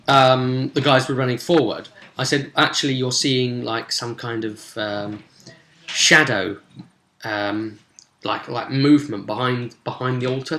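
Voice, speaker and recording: A man with a bright, forward voice and a mid-range pitch gives a casual game master's recap. He explains things conversationally and rambles a little. The sound is roomy and echoey. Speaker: game master recapping tabletop role-play sessions. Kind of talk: casual spoken recap and commentary.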